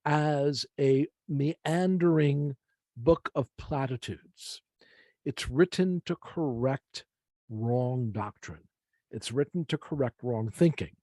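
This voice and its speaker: An American man, middle-aged or older, teaching a Bible class informally from slides in a deliberate, explanatory way, repeating key phrases for emphasis. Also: mid-range voice, warm tone, no room sound. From United States.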